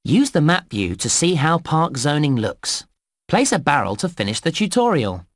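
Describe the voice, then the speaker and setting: A man voicing a chirpy, helpful theme park guide in a children's video game, giving scripted instructions clearly and deliberately. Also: on the high side, big pitch swings, no echo, clean studio sound. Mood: helpful, upbeat. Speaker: video game tutorial narrator.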